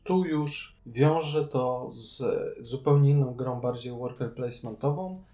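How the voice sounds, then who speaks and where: A man co-hosting a podcast, chatting casually about board games in an informal, loosely phrased, slightly halting way. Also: medium pitch, dark tone. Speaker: podcast co-host. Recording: roomy, echoing room sound.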